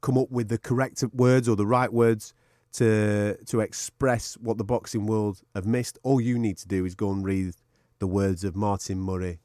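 Man, podcast host, chatty, swears, deep-ish voice. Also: little pitch movement, moderate pace, few pauses, mellow, no room sound, studio quality. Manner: chatty, swears freely, fillers like uh. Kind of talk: casual chat.

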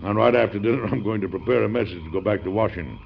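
An actor playing a principled, jovial, stubborn American president in an old-time radio drama, emphatic and folksy. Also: male voice, fairly low pitch, rapid-fire, gravelly, slight background noise. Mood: amused, hearty. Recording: dull, lo-fi old radio recording.

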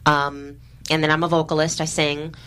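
A woman's voice: low voice, little pitch movement, moderate pace, fairly bright tone, clear, resonant tone. A middle-aged woman, a guest comedian and actress, chatting casually in an interview and rambling with lots of fillers and restarts.

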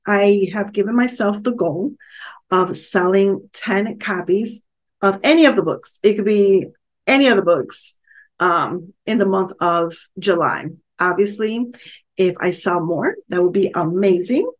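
An upbeat woman hosts her own podcast, speaking at a middle pitch. She talks in a warm, encouraging way and fills her pauses with frequent "um"s. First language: not Spanish; English first language. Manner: upbeat, encouraging, many "um" fillers. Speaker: podcast host and author. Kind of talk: solo podcast talk.

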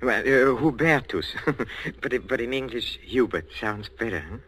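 An actor playing a meek but secretly resentful botany professor in a 1940s radio thriller, with a Central European accent and hesitant, repetitive speech. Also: male voice, medium pitch, lively intonation, a bit bright. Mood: hesitant, smitten. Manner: hesitant, halting, repeating words. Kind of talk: 1940s radio suspense acting. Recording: 1940s radio recording with music.

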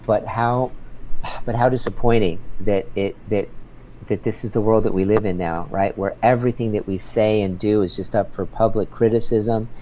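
A man's voice: lowish voice, fairly even intonation, normal speed, lo-fi sound, slight background noise. A man working as an interpreter, talking earnestly in a casual conversation and often ending sentences with "right?".

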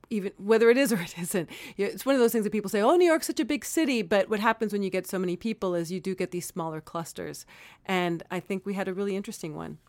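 A woman's voice: mid-range voice, dry recording. A woman from Miami, a guest artist, chatting casually and rambling with ums in a radio studio. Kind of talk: casual interview chat. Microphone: shared microphone.

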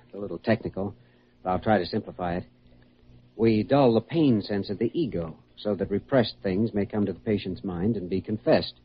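An actor playing a calm, authoritative American doctor in a classic radio drama, speaking in a measured, reassuring way. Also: male voice, lowish voice, slight background noise, natural voice. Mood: calm, explanatory. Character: calm, authoritative male doctor guiding a hypnotized patient. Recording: dull, lo-fi old radio recording.

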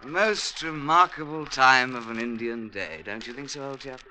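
An actor playing a pompous British army major in a radio drama, with a blustering, hesitant, clipped way of repeating himself. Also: male voice, mid-range voice, moderate pace, sharp tone. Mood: self-assured, probing. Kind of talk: old-time radio drama acting. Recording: classic radio recording with faint noise.

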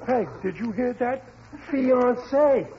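A man's voice: very high voice, slow pace, crowd noise, heavily acted. An actor playing a blustering, dim working-class father in a 1940s radio comedy, with slangy, exasperated speech. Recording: muffled, hissy old radio recording.

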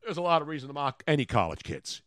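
A man's voice: a little high, very animated, fast talker, fairly bright tone, dry recording, studio quality. A man from Philadelphia hosting a talk radio show, joking around in mock outrage and rambling. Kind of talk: casual sports talk radio.

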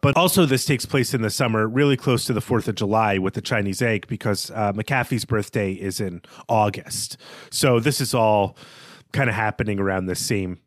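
A man's voice: fairly low pitch, moderate pace, no echo, clean studio sound. A man co-hosting a podcast, discussing literature in an analytical but informal conversational way. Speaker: podcast co-host.

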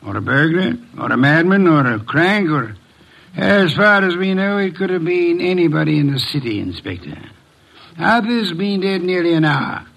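A man playing a pompous, fussy, indolent master detective in an old radio drama, speaking in formal, deliberate phrases. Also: fairly high pitch, expressive, gravelly, fairly bright tone, exaggerated voice, poor audio. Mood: dry, superior.

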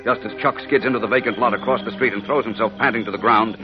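A man's voice: on the low side, fairly even intonation, fast, over music. A man narrating a 1940s radio serial as announcer, with dramatic, urgent delivery. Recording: muffled old radio recording.

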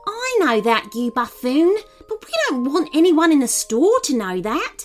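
A woman's voice: medium pitch, sing-song, normal speed, soft background music, natural voice. A woman voicing a mean, bossy female robber in a children's story, scornful and barking orders.

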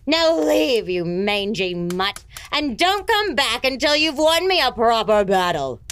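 An evil empress in a fantasy audio drama. Her highish, sweeping voice is haughty and impatient, scolding underlings with open scorn. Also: very animated, a little slow, a bit bright, smooth, full character voice. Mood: scornful, exasperated.

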